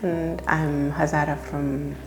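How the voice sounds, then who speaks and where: A woman from Pakistan, speaking haltingly and hesitantly in a personal interview testimony. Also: low voice, level tone. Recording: echoey room with loud music behind.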